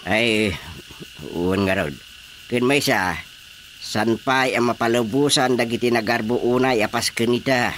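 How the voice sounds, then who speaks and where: A man's voice: mid-range voice, measured pace, pauses often, a bit bright, a little hiss or hum, lightly acted. A man playing an old, easygoing village husband and father who gossips with his wife in a radio drama. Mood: amused.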